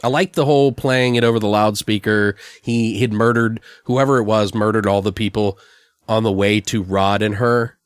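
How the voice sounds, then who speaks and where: A man with a fairly low voice talks in a casual brainstorm, recorded close and dry. He rambles as he improvises ideas and swears freely, like a podcast host thinking out loud. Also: fairly even intonation, moderate pace, clear, resonant tone, dry recording. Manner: rambling, profane.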